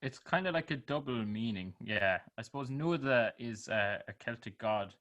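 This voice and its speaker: A man with an Irish accent, a band member talking informally on a video call, often trailing off softly. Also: mid-range voice, lively intonation, moderate pace, muffled recording. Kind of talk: casual interview chat.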